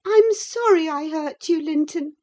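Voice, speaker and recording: A woman's voice: very high-pitched, unhurried, darkish tone, pitched above the performer's own voice. A woman voicing an impetuous, hot-tempered but tender-hearted young girl, with an emotional delivery, in a storybook reading. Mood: remorseful, pleading. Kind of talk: dramatised classic novel reading.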